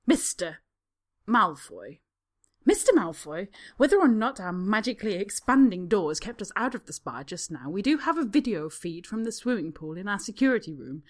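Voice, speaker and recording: A woman voicing a cold, stern spa receptionist who talks sharply and demands answers. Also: mid-range voice. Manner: sharp, demanding, falsely kind.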